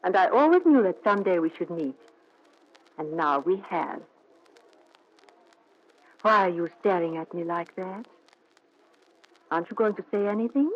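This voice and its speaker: An actress playing a seductive, possessive, jealous wife from Egypt in a 1940s radio thriller, speaking with theatrical intensity. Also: female voice, fairly low pitch, lively intonation, moderate pace, long pauses. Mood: dreamy. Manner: theatrical, intense, endearment-laden. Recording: dull, band-limited 1940s radio recording.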